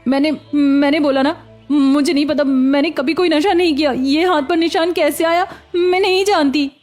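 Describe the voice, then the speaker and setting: A woman's voice: high voice, steady tone, normal speed, darkish tone, faint music behind, close to an everyday voice. A woman voicing a kind, proud young beggar girl in a cartoon story. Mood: distressed.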